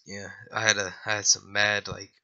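A man's voice: on the low side, completely flat delivery, normal speed, gravelly, bright, forceful tone, muffled recording. A young man with a scratchy voice after being sick, rambling and apologizing through casual gameplay commentary. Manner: rambling, apologetic, lots of fillers. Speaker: gaming youtuber.

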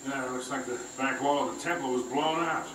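An actor playing a gruff, grumbling older male treasure hunter in a video game. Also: mid-range voice, steady tone, fairly quick, far from the mic, noisy background, natural voice. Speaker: game character. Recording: game audio played in a roomy studio.

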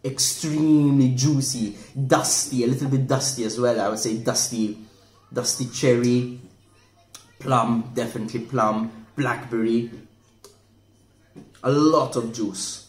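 A man in his forties, casually reviewing a wine at a table indoors, relaxed and thoughtful, often repeating words as he restarts. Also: mid-range voice, a little slow. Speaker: wine shop manager reviewing wine. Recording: echoey room with faint noise.

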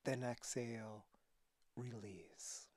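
A man leading a guided relaxation as a yoga teacher, speaking calmly and softly with breathy phrases and long pauses. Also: medium pitch, background noise. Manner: calm, soothing instruction.

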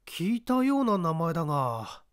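A man voicing an earnest unemployed young man in a read-aloud mystery, speaking excitedly and pleadingly. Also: normal speed, dry recording, clean studio sound. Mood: eager. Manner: excited, pleading, rising pitch.

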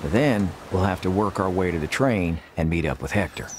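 An actor playing a courteous, laid-back cowboy gunslinger in a western video game, plainspoken and gruff. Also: male voice, sits low, normal speed, darkish tone, slight background noise, natural voice. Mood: easygoing. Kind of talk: dramatic video game acting.

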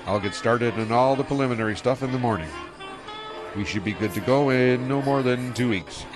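A man's voice: medium pitch, fairly quick, over music, natural voice. An actor playing an easygoing young male starship pilot who talks in a casual, laid-back way in an audio drama.

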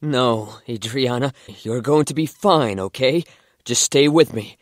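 A man's voice: medium pitch, measured pace, dry recording, clean studio sound, natural voice. A man playing a bookish, devoted young man in love in a fan audio drama. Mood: tender.

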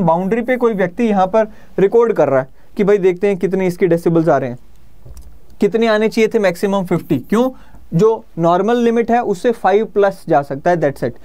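A man's voice: sits high, darkish tone. A man in his thirties with an Indian accent, explaining like an exam-prep teacher in a conversational lecture, often rephrasing his points. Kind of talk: explanatory lecture mixing Hindi and English. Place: indoor studio in front of a presentation screen.

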